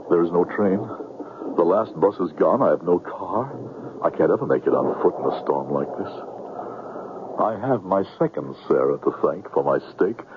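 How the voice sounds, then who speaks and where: An actor playing a smooth-talking, anxious con man on the run in a 1970s radio drama, with florid, theatrical diction. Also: sits low, phone-quality sound. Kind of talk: 1970s radio drama acting, first-person narration. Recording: noisy, phone-thin old radio broadcast.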